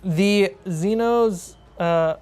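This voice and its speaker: A woman's voice: medium pitch, drawn-out words, darkish tone. A woman running a tabletop role-playing game, narrating the action and prodding players in a casual, teasing, sweary way. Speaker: game master.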